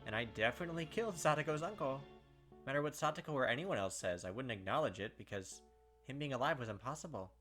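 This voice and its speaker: A man's voice: medium pitch, fast, soft background music. Man narrating dramatically, with casual asides. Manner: expressive, sweary asides. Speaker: gaming video host. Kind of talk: dramatic read-aloud narration with casual commentary.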